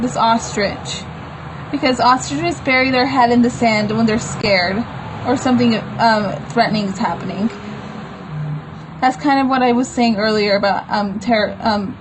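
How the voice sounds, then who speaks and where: A woman with a fairly high voice reads aloud informally, adding her own loose explanations as she goes. The delivery is plain and unpolished, and there is clear noise behind her. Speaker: comic book author reading own work.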